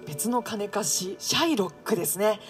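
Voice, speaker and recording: A woman's voice: mid-range voice, animated, moderate pace, music in the background. A woman working as a radio MC in a playful scripted show, politely reacting to and echoing her guest.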